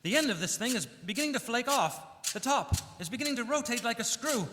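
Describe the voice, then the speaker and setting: A man's voice: very high-pitched, animated, fairly quick, bright, forceful tone, a little hiss or hum, full character voice. A man playing a horrified radio news reporter giving a stammering, dramatic eyewitness account in a live old-time radio drama. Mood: awed horror. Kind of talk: live radio drama acting, 1930s news bulletin manner. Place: live stage performance in a church. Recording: reverberant church hall.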